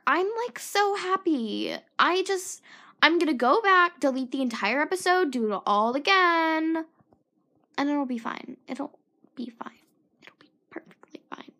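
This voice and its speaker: A high, bright teenage girl chatting alone into her podcast mic. She rambles and mocks herself, often trailing off into whispers. Her throat is sore and her voice sounds tired. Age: teens. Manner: self-mocking, scattered, drifts into whispered asides. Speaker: podcast host. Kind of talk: rambling solo podcast chat.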